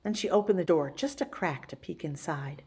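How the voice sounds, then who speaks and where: A woman reading a children's picture book as a story-time host, with theatrical, deliberate emphasis. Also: deep voice, a little mellow. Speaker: library story-time reader.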